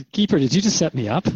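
A man's voice: on the high side, darkish tone, slightly rough, no room sound, dull audio, exaggerated voice. A man playing an earnest, searching radio host in a drama, asking questions in a thoughtful, conversational, hesitant way. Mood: amused, teasing. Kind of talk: radio drama interview dialogue.

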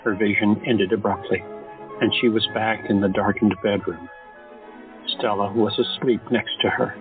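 A man narrates an audio drama with measured storytelling. His voice is on the low side. The sound is thin and phone-like, with clear music underneath. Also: steady tone. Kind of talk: scripted narration.

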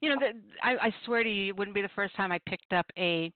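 A woman's voice: medium pitch, fast, no echo. A middle-aged woman hosting a call-in poetry radio show, chatting casually with playful, self-deprecating banter and storytelling. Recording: muffled, dry radio call-in line.

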